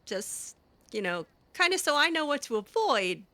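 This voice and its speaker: A woman's voice: sits high, very animated, normal speed, pushed and bright, no room sound. A woman playing a video game, improvising chatty, hesitant and effusive roleplay commentary in character. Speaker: video game player and host.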